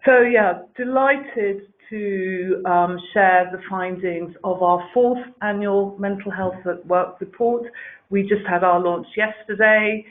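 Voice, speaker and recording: A woman's voice: mid-range voice, steady tone, takes it slowly. A woman speaking as a wellbeing director, giving an earnest, fluent webinar presentation with frequent ums. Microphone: video call. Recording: tinny webinar audio.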